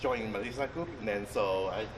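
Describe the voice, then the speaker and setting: Halting man guiding a tour. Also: lowish voice. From Vietnam. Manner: halting, many restarts and fillers, thinks aloud while explaining. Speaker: chef and tour guide. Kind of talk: informal guided-tour talk. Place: outdoors on a busy street near a market. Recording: distant, echoey street recording with traffic noise.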